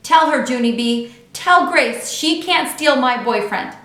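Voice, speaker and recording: A woman's voice: high-pitched, animated, measured pace, a bit bright, voice pushed up. A woman voicing a sparkly, possessive young schoolgirl in a children's storybook, insistent and emphatic. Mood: indignant. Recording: echoey untreated room.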